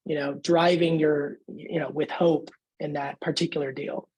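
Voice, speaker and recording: Husky conversational woman on a panel. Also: deep voice, flat delivery, normal speed, darkish tone, low-quality recording. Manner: informal, seeks agreement with frequent tags. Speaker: sales expert panelist.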